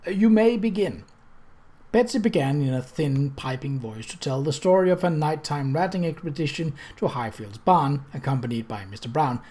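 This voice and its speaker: A measured narrator reading a novel aloud. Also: mid-range voice, lively intonation, a little mellow, husky. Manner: literary, measured storytelling with character dialogue. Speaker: audiobook reader.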